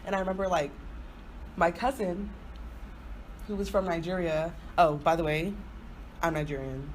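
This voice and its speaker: Young woman, early twenties, slightly low pitch, informal slangy podcast storytelling, noisy amateur recording. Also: fairly even intonation, normal speed. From Houston, Texas, not raised there from birth. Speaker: podcast co-host. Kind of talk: casual chatty storytelling.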